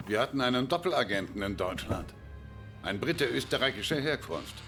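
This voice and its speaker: A stern British general briefing his soldier in a game cutscene. He is commanding and grave, with a mid pitch that moves widely. A clear musical score runs underneath. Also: animated, a little fast, bright, forceful tone, faint music behind. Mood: insistent. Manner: authoritative, grave. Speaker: video game character in cutscenes.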